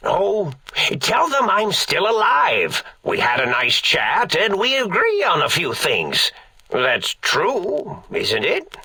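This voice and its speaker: Creaky, swooping mad old scientist. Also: male voice, high-pitched, wildly swooping pitch, slow pace, pushed and bright, no echo, full character voice. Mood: scheming, playful. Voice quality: raspy, creaky. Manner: theatrical, pompous, rambling, absent-minded. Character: eccentric, forgetful old mad scientist doctor, theatrical yet harmless. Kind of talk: video game character acting.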